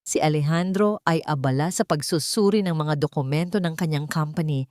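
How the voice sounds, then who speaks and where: Female narrator, slightly low register, close dry sound, for scripted romance storytelling. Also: normal speed, a little mellow, no echo.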